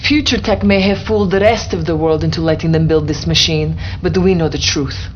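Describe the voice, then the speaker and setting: An actress playing a grave, patriotic Soviet intelligence officer giving dramatic briefings in a game cutscene. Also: female voice, sits low, fairly quick, highs cut off, a little hiss or hum, natural voice. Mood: grave, urgent.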